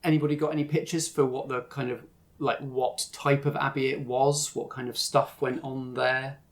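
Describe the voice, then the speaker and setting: A man's voice: medium pitch, little pitch movement, normal speed. A man narrating a tabletop roleplaying game as game master, explaining things in an informal way.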